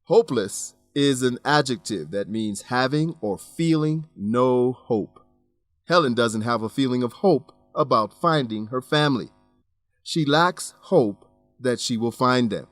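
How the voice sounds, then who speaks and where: A man hosting an English lesson as scripted narration, measured, instructive and clearly enunciated. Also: mid-range voice, a bit bright, dry recording. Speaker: host and English teacher.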